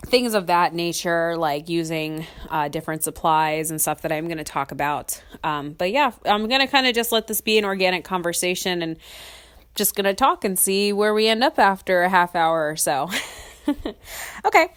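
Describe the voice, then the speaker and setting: A woman's voice: fairly low pitch, expressive, normal speed, fairly bright tone, clear, resonant tone. A young adult woman hosting a casual solo podcast. She has a cold and sniffles, and she rambles with lots of "um"s and frequent little laughs. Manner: chatty and rambling, laughs often, many ums. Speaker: podcast host.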